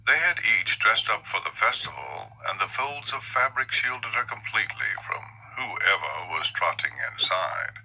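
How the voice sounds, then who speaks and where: A man's voice: on the low side, sing-song, normal speed, few pauses, sharp tone, husky, poor audio. A man reading a scripted story as narrator in a dramatic storytelling style, with music underneath.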